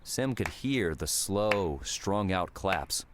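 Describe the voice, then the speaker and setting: Very deep, slow male narrator. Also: expressive, background noise. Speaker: audiobook narrator. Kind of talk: dramatic scripted narration. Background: music.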